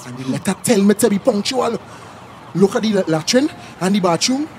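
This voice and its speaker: A man's voice: sits high, animated, fairly quick, darkish tone, slight background noise. A lazy young man in his early twenties in a radio drama. He drawls and complains in a Trinidadian accent, by turns amorous and grumbling. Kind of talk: radio play acting in dialect.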